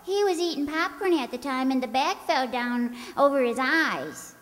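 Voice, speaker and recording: A little neighbour girl with a very high, swooping voice. She chatters on earnestly and persistently, spinning tall tales in old-time radio comedy style. The sound rings in an echoey hall. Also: expressive, normal speed, fairly bright tone. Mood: innocent, rambling. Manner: childlike, rambling, earnest. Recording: echoey hall stage recording.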